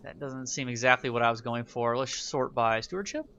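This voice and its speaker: A man's voice: mid-range voice, brisk, pushed and bright, smooth, highs cut off. A man giving casual, wry commentary while playing a strategy game, thinking aloud as he goes. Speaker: gaming YouTuber. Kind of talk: let's play commentary.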